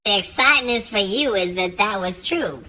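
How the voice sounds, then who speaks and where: A woman's voice: medium pitch, expressive, normal speed, sharp tone, pitched below the performer's own voice. A woman playing a weird little man who guards a storybook bridge, laid-back and folksy. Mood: laid-back, pleased.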